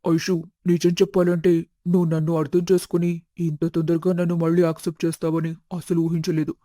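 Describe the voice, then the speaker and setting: A man voicing a smitten young man in an animated moral story, tender and eager with his girlfriend. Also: a little high, a little fast, dry recording, lightly acted. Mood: loving.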